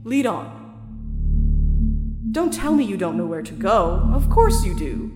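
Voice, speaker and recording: A woman's voice: mid-range voice, big pitch swings, measured pace. Reverberant hall, woman voicing sinister female meditation guide, falsely sympathetic, patronizing, formal, coldly predatory, audio drama, prominent music. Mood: false sympathy. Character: sinister female self-help guide spirit, soothing, condescending, coldly predatory. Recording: echoey reverberant hall effect, music underneath.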